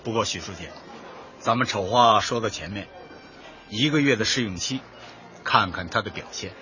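An actor playing an obliging supermarket boss in a radio drama, effusively polite yet businesslike. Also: male voice, on the low side, normal speed, sharp tone. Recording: dull band-limited audio, faint noise.

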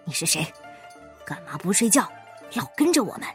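Woman as crooked male minister, conspiratorial, blustering, swooping pitch, storybook villain. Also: animated, moderate pace, darkish tone, soft background music. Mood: menacing. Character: sneaky, menacing male corrupt treasury minister. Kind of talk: children's storybook character voice.

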